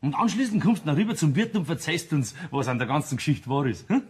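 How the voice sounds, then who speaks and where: A man playing a sly, chummy locksmith with a husky voice and a Bavarian accent in a German audio play. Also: on the high side, sing-song, fast, full character voice. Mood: coaxing. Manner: hesitant, chuckling, folksy.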